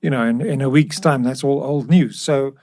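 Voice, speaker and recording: A man's voice: mid-range voice, normal speed, warm tone. A man hosting a podcast in a conversational discussion style. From South Africa. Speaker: podcast host.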